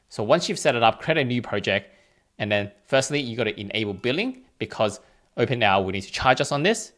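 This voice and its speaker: A man's voice: fairly low pitch, fairly bright tone. A man hosting an instructional screencast, explaining things step by step in a plain, informal way. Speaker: tutorial host.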